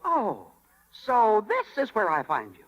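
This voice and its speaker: An excitable, fussy little cricket. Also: male voice, high voice, a little slow, breathy edge, exaggerated voice, poor audio. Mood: scolding. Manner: excitable, scolding, folksy. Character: small male cricket conscience, fussy, indignant, caring. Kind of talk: 1930s radio acting. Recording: vintage 1939 radio with music.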